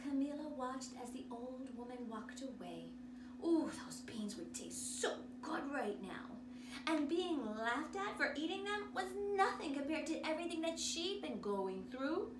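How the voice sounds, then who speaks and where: A woman reading a children's story aloud in a theatrical, expressive way. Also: sits high, unhurried. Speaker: drama teacher reading a picture book aloud. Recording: roomy, distant mic, noisy background.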